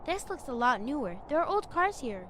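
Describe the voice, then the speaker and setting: A woman's voice: high-pitched, big pitch swings, moderate pace, background noise. An actress playing an earnest, curious young girl in a children's audio drama, eager and full of exclamations. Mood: curious, amazed.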